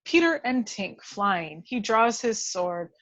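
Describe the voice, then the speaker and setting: A woman reading action descriptions as scripted narration at a table read, with measured, dramatic storytelling. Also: mid-range voice, very animated, a bit bright.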